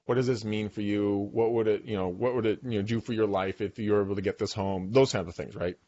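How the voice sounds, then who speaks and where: A talkative man in his forties hosts a podcast from the dining room of his home. His voice sits low, and it comes through a boom mic with a slightly dull, lo-fi sound. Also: fast. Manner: talkative, animated storyteller. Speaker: podcast host. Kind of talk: casual video-call interview. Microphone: boom mic on arm.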